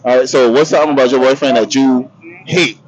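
A man's voice: medium pitch, fairly even intonation, quick pace, faint music behind, low-quality recording. A man with a Southern US accent asking casual, slangy questions as a street interviewer, outdoors on a city street with a handheld mic. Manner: laid-back slangy questioning.

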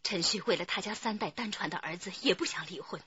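An actress playing an aggrieved, jealous wife with a husky voice in a radio drama. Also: female voice, fairly low pitch, fast, bright, forceful tone, highs cut off, full character voice. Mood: bitter recounting.

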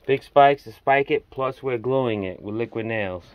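A man's voice: mid-range voice, a little slow, phone-quality sound. A middle-aged man filming his own home building project on his phone outdoors, giving a casual, rambling walkthrough. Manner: plainspoken, rambling, working-man talk. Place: outdoors at a house, in a dug-out basement stairwell. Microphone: handheld phone camera.

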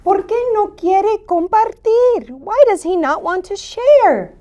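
A woman's voice: extremely high pitch, lively intonation, takes it slowly, warm tone, full character voice. A woman voicing a playful, curious little puppet monster in a children's read-aloud, speaking simply and switching between Spanish and English. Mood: puzzled, concerned.

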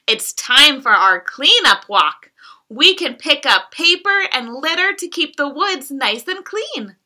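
A woman reading the lines of a cheerful, caring little girl in a gentle, sing-song storybook way. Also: sits high, big pitch swings, slow pace, heavily acted.

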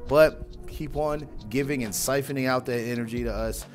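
A young man talks into a wired earphone mic in a small room at home, casual and mocking, tossing in "bro" again and again. His voice sits in a middle pitch. Faint music plays under him. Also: steady tone, moderate pace. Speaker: reaction channel host. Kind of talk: casual reaction commentary.

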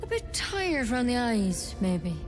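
A young woman druid healer from a fantasy game, brisk and caring. Her fairly high voice sounds matter-of-fact and inquisitive, with a long reverb and music behind. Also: big pitch swings. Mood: focused, caring. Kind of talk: naturalistic fantasy game acting.

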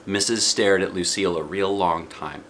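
A middle-aged American man reading a children's storybook aloud in animated narration. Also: deep voice, moderate pace. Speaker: children's book reader. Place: living room, indoors. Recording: noticeable background noise.

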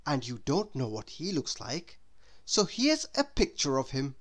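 A woman reading a children's story aloud in a deliberate, expressive way, as if to a young listener. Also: extremely low pitch. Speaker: storybook reader. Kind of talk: scripted children's story narration.

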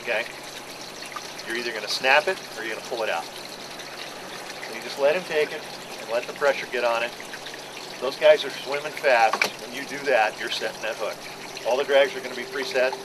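A man's voice: mid-range voice, normal speed, long pauses. Middle-aged man, boat captain, plain-spoken instructions, outdoors on a deck, camera mic, noticeable background noise. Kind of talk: instructional briefing to a charter group. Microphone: camera mic from a few feet away.

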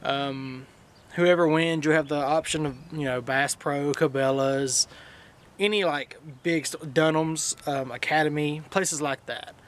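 A man, chatting casually to camera for his fishing vlog outdoors, with relaxed fillers. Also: on the high side, measured pace. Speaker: fishing vlogger. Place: outdoors by a pond and in a yard. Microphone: action camera, head-mounted or handheld. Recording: faint outdoor noise.

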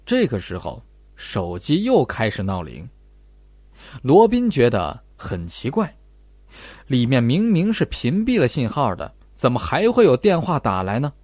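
Expressive male audiobook narrator, lively pitch. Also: unhurried, dry recording. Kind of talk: dramatic scripted narration of a suspense novel.

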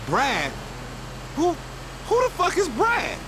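A loudmouthed young hustler in a video game. His voice is high and swings all over, full of slangy, profane teasing, with vehicle noise behind it. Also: wildly swooping pitch, slow pace, heavily acted. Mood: startled. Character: loudmouthed, wisecracking young street hustler, suspicious and excitable. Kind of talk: video game dialogue, bantering.

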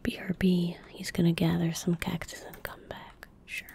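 A young woman gaming streamer in soft-spoken ASMR style, murmuring to herself in a breathy whisper at a close microphone. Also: lowish voice, completely flat delivery. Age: young adult. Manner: unhurried, murmuring to herself as she plays. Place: indoor room at a gaming setup. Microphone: close desk microphone.

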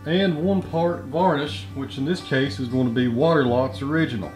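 A relaxed man in his thirties talks casually about his day and his woodworking. His voice sits at an ordinary middle pitch, easygoing and plainspoken. He talks to a handheld camera outdoors in the woods and later in a small workshop. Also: normal speed, soft background music, low-quality recording. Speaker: woodworker and channel host.

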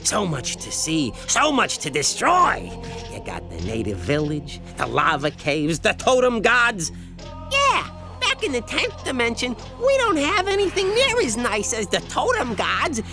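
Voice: medium pitch, wildly swooping pitch, a little slow, music in the background. An actor voicing a gleeful, villainous mutant parrot in a video game, with a mocking, theatrical swagger. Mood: smug, mocking. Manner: theatrical, mocking, swooping delivery. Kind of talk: video game cutscene acting.

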